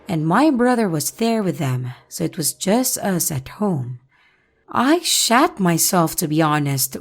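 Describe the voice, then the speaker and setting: Woman reading spooky stories real slow, voice going up and down a lot, lowish voice. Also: a little mellow. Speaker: channel host and narrator. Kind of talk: first-person story narration.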